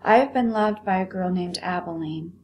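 A woman's voice: lowish voice, normal speed, a little mellow, slight background noise, lightly acted. A woman voicing a proud, weary male toy rabbit in a storybook, with a terse, aloof manner. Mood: impassioned, bitter.

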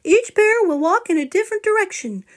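A woman's voice: very high voice, lively intonation, a little fast, voice pushed up. A woman voicing an eager little boy bear in a storybook.

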